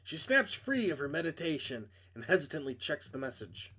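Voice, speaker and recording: A man with a fairly high voice narrates a visual novel aloud. He leans into dramatic storytelling, and now and then trips over a word. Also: very animated, moderate pace, bright, forceful tone, husky. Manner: dramatic storytelling delivery, stumbles over words. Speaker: reader playing a visual novel.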